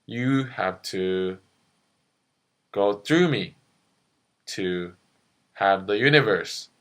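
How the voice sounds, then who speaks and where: Someone playing a stern, slow guardian angel puppet. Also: low-pitched, animated, voice pushed down, exaggerated voice. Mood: challenging. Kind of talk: amateur puppet show acting.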